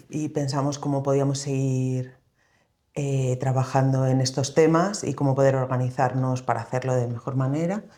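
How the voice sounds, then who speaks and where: A woman's voice: very deep voice, warm tone. A woman with an accent from Spain talking as an interview guest, telling her story in a reflective, loosely structured way. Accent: Spanish from Spain. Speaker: interview guest, co-founder of a social organization. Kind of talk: conversational interview account.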